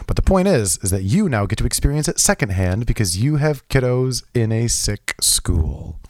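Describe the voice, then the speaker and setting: A young man co-hosting a casual podcast chat, his voice low and a little hoarse from being sick, rambling with lots of restarts and fillers. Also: big pitch swings, normal speed, a little mellow, no room sound, clean studio sound. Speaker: podcast co-host.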